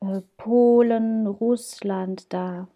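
A woman's voice: fairly high pitch, fairly even intonation, drawn-out words, lo-fi sound. A woman, hosting a podcast in casual, chatty talk, often laughing at herself. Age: 30s. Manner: chatty and laughing, self-mocking. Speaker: podcast host. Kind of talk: casual chat.

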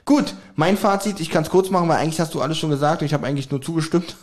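A man's voice: on the high side, rapid-fire. Dry, teasing male podcast co-host. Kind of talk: casual chat, audio drama review.

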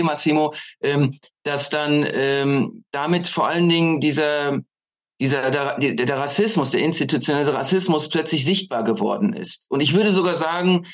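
Male author as interview guest, slightly high pitch, halting, deliberate political commentary with repeated words. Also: a bit bright. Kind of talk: political discussion.

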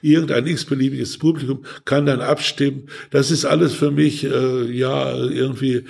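An older man talking in an interview in a halting, hesitant way, often seeking agreement with small tag questions. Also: medium pitch, steady tone, darkish tone. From Ruhr region, Germany. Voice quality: gravelly, husky. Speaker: author, interview guest. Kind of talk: conversational interview.

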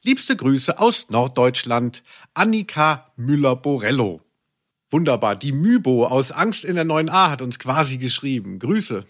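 A man's voice: medium pitch, big pitch swings, a little slow. A man co-hosting a podcast with casual, teasing, mock-serious banter. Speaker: podcast co-host.

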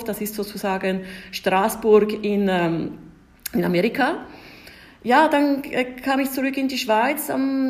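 A woman in her forties from Ticino whose native language is Italian, speaking German with an Italian-Swiss accent as a diplomat guest on a podcast, hesitant and full of filler sounds but lively. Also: mid-range voice. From Ticino, Switzerland. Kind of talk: podcast interview storytelling.

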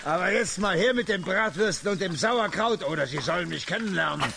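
A man playing a gruff, cheeky robber villain in a children's radio play, gravelly and menacing. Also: high-pitched, animated, a little fast. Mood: threatening. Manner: menacing, brash. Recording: noisy audio drama clip with background ambience.

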